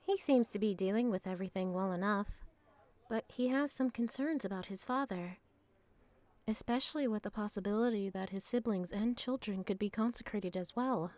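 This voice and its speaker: A woman's voice: medium pitch, moderate pace, darkish tone, lightly acted. A woman playing a composed, thoughtful traveller in a fantasy audio drama, speaking reflectively and articulately.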